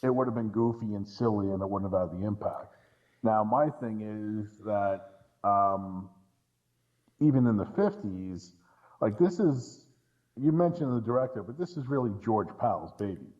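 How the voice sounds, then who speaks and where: A man's voice: fairly low pitch, a little slow, darkish tone. A man chatting casually about films in an informal, blunt way. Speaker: podcast co-host or guest commentator. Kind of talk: casual film discussion. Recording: dull, lo-fi audio.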